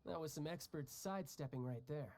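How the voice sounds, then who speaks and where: A man's voice: on the high side, fairly quick, darkish tone, slight background noise, lightly acted. An actor playing a cocky young male warrior who talks in a breezy, joking way. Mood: teasing. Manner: breezy, joking, laughs often. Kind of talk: video game dialogue. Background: game music.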